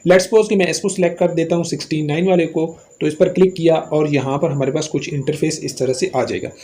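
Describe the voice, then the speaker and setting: High-pitched Pakistani male tutorial host. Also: darkish tone. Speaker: host of a tech tutorial channel. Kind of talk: scripted-style phone app tutorial narration.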